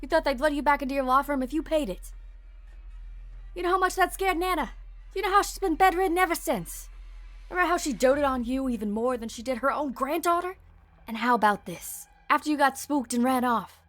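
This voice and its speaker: A woman's voice: high-pitched, expressive, brisk, a bit bright, no echo. A woman voicing a hard-nosed police officer with a commanding, bitter edge. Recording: dry close mic, faint music.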